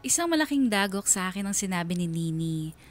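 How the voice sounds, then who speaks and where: A woman's voice: medium pitch, big pitch swings, brisk, natural voice. An actress playing a regretful, self-doubting young woman who narrates her own story in a confessional radio drama style. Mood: regretful, reflective. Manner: confessional, reflective. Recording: music bed under the voice. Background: background music.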